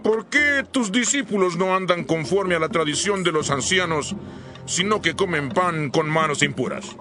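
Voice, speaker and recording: A man's voice: high-pitched, expressive, moderate pace. An actor playing an indignant male religious critic in a dramatized scripture reading, challenging and accusing. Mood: indignant challenge. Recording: clear music bed under voice. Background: music.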